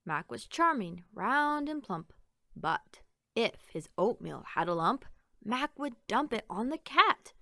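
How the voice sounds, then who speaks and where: A woman reading a rhyming children's story aloud in an expressive, sing-song way. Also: on the high side, slow, gravelly, no room sound, studio quality. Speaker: picture book reader.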